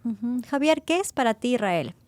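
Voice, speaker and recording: A woman's voice: a little high, normal speed, no echo. Woman hosting a podcast, dry close sound. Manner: emphatic, expressive delivery. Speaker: podcast host. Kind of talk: interview with scripted intro.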